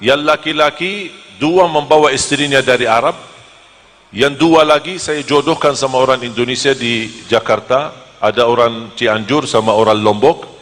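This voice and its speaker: Joking preacher from Medina. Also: male voice, mid-range voice, moderate pace, big-room sound. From Medina, Saudi Arabia. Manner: joking, lively storytelling. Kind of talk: humorous sermon to a live audience.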